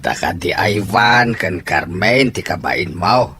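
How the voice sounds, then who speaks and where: A steady, frank older Filipino uncle , acted by a man in a radio drama. He speaks slowly in a lowish voice, handing out counsel. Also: a little hiss or hum, heavily acted. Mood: frank, reproving. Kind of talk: radio drama acting in Ilocano.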